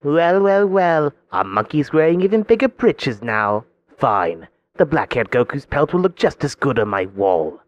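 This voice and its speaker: A man's voice: medium pitch, big pitch swings, a little slow, hardly any pauses, lo-fi sound. A man voicing an arrogant, sadistic alien tyrant from anime, with a haughty, mockingly refined, theatrical delivery. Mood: contemptuous, sneering. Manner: sneering, haughty, taunting, theatrical. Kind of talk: theatrical anime villain voice demo.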